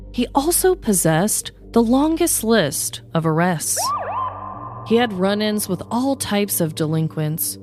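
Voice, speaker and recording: Lower-pitched woman hosting a comedy podcast. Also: darkish tone. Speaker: podcast host. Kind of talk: scripted comedic true-crime narration and casual chat.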